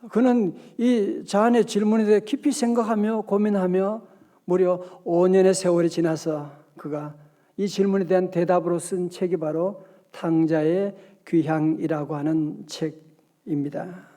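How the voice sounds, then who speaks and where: Middle-aged male pastor, sermon delivery, voice set high, mellow tone. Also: steady tone, measured pace. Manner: storytelling, acts out dialogue in quotes.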